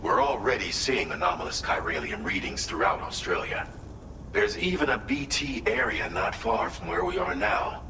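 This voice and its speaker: An actor playing a composed, businesslike mission adviser in a video game, giving formal, measured and precise briefings. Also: male voice, sits low, sing-song, echoey room, background noise. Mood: measured, serious.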